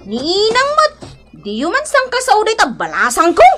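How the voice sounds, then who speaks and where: A woman's voice: very high-pitched, wildly swooping pitch, normal speed, loud music behind the voice, exaggerated voice. A woman playing a brash, cheeky grown daughter in an Ilocano-accented comedy radio drama.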